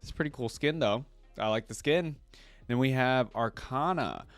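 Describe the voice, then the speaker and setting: A man's voice: medium pitch, normal speed, clear, resonant tone, dry recording, soft background music. A man hosting a reaction video, chatting casually and thinking aloud in an informal way.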